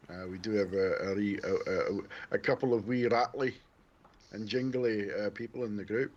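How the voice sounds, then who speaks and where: A man's voice: lowish voice, fairly even intonation, moderate pace, lo-fi sound. A man playing in a tabletop game, chatting casually, stumbling over his words and laughing easily. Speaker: player.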